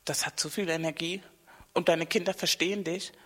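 A woman hosting a casual podcast conversation, lively and affirming toward her guest. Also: fairly low pitch, fairly quick, big-room sound.

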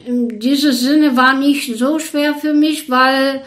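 An older woman from Bulgaria with a Bulgarian accent, a violinist recalling her past in an interview in slow, hesitant German full of fillers. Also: sits high, steady tone, fairly bright tone. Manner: hesitant, many fillers, searching for German words. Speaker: orchestra violinist. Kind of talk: interview recollection.

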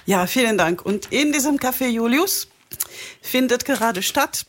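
A woman hosts an interview in a studio. Her voice sits fairly high, and she asks her guest questions and affirms her answers often. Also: lively intonation, a little slow, slight background noise. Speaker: interview host. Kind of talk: conversational interview.

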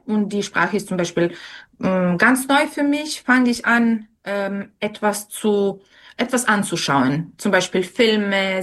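Young woman, slow careful delivery, hesitant interview answers. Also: mid-range voice, a bit bright. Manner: many fillers and restarts, thoughtful.

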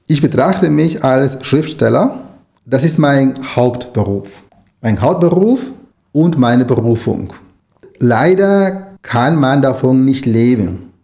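Mellow middle-aged man. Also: medium pitch, animated, slow pace, vocal fry. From Nicaragua. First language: Spanish. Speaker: interview guest, writer and language lecturer. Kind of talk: conversational interview answers.

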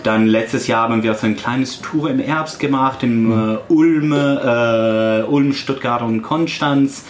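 Band member man, halting. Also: mid-range voice, reverberant, a little hiss or hum. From Italy.

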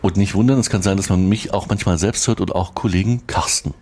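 Low-voiced man reporting conversationally. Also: brisk, heavy background noise. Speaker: radio reporter.

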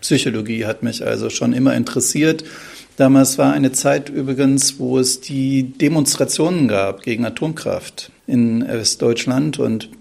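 A middle-aged man from Lower Saxony, a psychologist, introducing himself on a radio talk in a reflective, storytelling way. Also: moderate pace, creaky, a little mellow. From Lower Saxony, Germany.

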